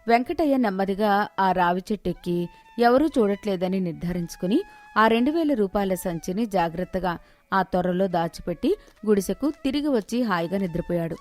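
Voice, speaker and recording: A woman's voice: medium pitch, a little mellow. A woman giving scripted narration of a moral story as its storyteller.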